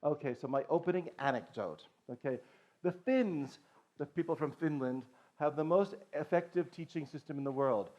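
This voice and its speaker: A man's voice: fairly high pitch, moderate pace, darkish tone. Lecturing man, dull lo-fi sound. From Boston. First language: English. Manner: earnest lecturing with frequent restarts and "okay". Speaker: conference presenter, language teacher. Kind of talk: conference talk. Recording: dull lo-fi recording.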